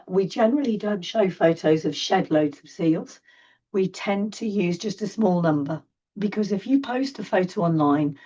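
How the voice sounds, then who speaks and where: A woman with a hoarse, failing voice talks chattily and apologetically as she presents on a video call. Her tone is low and mellow, with a husky edge. The audio sounds distant and dull, like a computer mic heard from across the desk. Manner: chatty, apologetic, self-deprecating. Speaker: charity presenter. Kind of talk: online presentation. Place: home desk.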